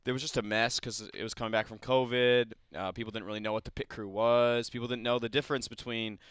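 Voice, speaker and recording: A man's voice: mid-range voice, steady tone, fast, a bit bright, smooth, no room sound, clean studio sound. Young man, rambling and earnest. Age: young adult. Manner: rambling, many restarts, earnest and upbeat. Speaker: student club president, studio guest. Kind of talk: casual interview chat. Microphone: studio mic.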